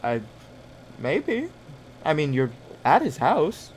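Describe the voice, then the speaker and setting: A man running a tabletop game as game master, improvising scene descriptions in a halting way as he searches for words. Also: on the high side, wildly swooping pitch, a little hiss or hum.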